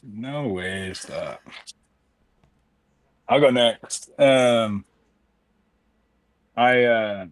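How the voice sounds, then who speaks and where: A man's voice: mid-range voice, drawn-out words, pauses often, dry recording, rough recording. A man in his thirties telling his collecting story in a casual group video call, rambling and restarting often. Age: 30s. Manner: rambling, self-interrupting, enthusiastic. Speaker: collector and card seller.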